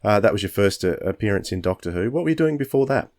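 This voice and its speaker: A man's voice: fairly low pitch, animated, fast talker, darkish tone. A man hosting an interview, asking casual, conversational questions. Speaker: interviewer, podcast host.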